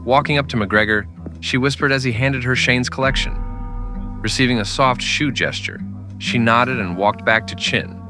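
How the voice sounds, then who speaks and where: A man narrating a scripted western in old-time radio style, with dramatic, deliberate storytelling. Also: mid-range voice, over music.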